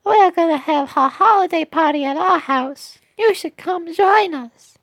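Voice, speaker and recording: A little cartoon cookie child with an extremely high, sweet voice. The delivery is slow, gushing and earnest, as in a storybook game tale, and sounds close and dry. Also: female voice, dry recording. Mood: cheerful, friendly. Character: sweet excitable young cookie child, poor and lonely.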